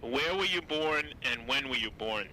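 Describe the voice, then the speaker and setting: A man's voice: mid-range voice, expressive, normal speed, pushed and bright, soft background music, rough recording. A man interviewing his cousin, asking short questions and repeating each answer back. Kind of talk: family interview.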